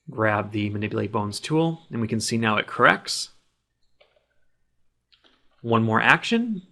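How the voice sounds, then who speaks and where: A man with a mid-pitched voice narrates a software tutorial as the instructor. He talks plainly and practically, explaining each action step by step as he performs it. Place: voice-over of a screen recording.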